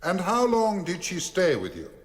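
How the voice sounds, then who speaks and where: Prosecutor, formal, pointed questioning, highish voice with lively inflection, radio drama. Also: male voice, normal speed. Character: smooth, formal prosecuting barrister questioning a witness. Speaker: actor playing a prosecutor.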